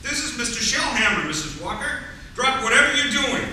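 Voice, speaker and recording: A man's voice: extremely high pitch, big pitch swings, a little fast, bright, forceful tone, a little hiss or hum, exaggerated voice. A man playing an anxious, flustered store manager in a staged radio play. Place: theatre stage, live performance. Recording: echoey theatre from far.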